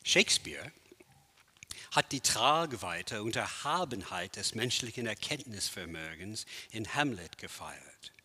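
Lecturer-performer, man in his 60s, native English speaker, lowish voice with a sharp bright edge, declaiming in a big empty gallery. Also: lively intonation, husky. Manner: measured, declamatory delivery with dramatic swells and hushed drops. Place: large empty art gallery hall, indoors.

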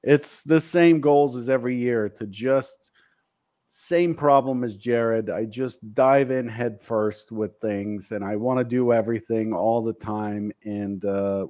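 A man's voice: on the low side, mellow. A man in his fifties hosting a casual video-call chat, talking earnestly and a bit self-deprecatingly about his goals. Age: 50s. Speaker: host. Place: home office. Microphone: studio mic on video call.

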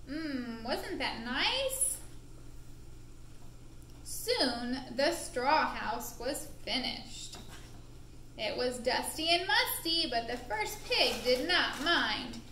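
Bright, high-pitched woman telling a children's story. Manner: expressive, deliberate, playful. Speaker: puppet show narrator and storyteller. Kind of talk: animated storytelling for young children. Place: indoor room with a puppet stage. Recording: roomy echo with faint background noise.

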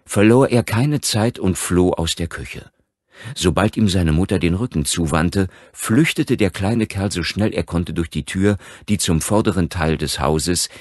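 A man narrating a scripted horror audiobook in a measured, articulate storytelling manner. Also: sits low, slightly rough, dry recording, studio quality. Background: background music.

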